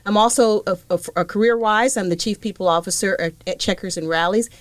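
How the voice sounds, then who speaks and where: A woman's voice: mid-range voice, brisk, no room sound. A woman from Liberia, a guest on a podcast panel, speaking earnestly and with emphasis about her work. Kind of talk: casual panel conversation.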